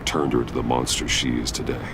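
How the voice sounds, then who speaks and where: An actor playing a brooding, stern masked vigilante in a video game, speaking tersely and gravely in a growl. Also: male voice, a bass voice, flat delivery, fast, noisy background. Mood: grim.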